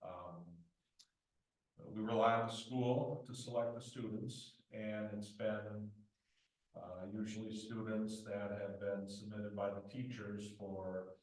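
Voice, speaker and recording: A man with a loud, lowish voice gives a plain report to a committee, full of halting fillers. He sounds set back from the mic, and his words ring around a very echoey meeting room. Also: level tone, far from the mic. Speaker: village marshal.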